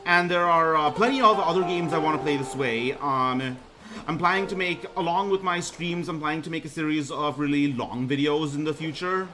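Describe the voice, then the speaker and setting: A young man with a baritone voice introducing himself on his debut stream, talking haltingly with lots of ums and restarts. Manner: hesitant, many ums and restarts. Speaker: VTuber streamer. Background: music playing.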